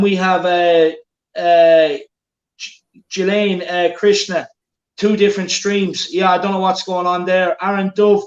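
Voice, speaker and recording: A man's voice: sits high, roomy sound, low-quality recording. A man hosting a football watchalong, chatting casually and reading out viewer comments. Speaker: watchalong host.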